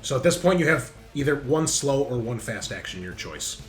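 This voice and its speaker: A man's voice: medium pitch, very animated, moderate pace. A man acting as game master in a tabletop roleplaying game, narrating action and explaining the rules. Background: background music.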